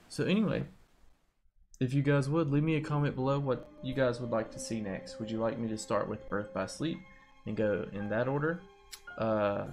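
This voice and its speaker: A man's voice: medium pitch, darkish tone. A young man hosting a gaming video, talking casually to his viewers on a headset mic. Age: young adult. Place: indoors, home gaming setup. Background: game music.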